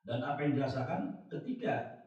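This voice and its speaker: A man's voice: medium pitch, animated, a little mellow, recorded from a distance, muffled recording. Echoey off-mic male talk show host. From West Java. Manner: measured, clears throat often, sums up guests' points. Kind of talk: formal moderated discussion. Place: studio.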